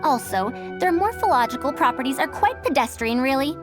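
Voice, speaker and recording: A very learned, opinionated anime game scholar, high and animated in voice. She speaks formally and picks at details like a fussy academic, with music playing behind her. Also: a little fast. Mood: puzzled, analytical. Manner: pedantic, formal wording. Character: bookish, prim female scholar over a hundred years old, youthful and opinionated. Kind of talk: anime-style video game voice acting.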